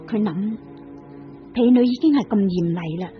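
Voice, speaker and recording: A woman giving scripted dramatic narration for an old radio play in a measured storytelling cadence. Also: medium pitch, soft background music. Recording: dull lo-fi old radio recording.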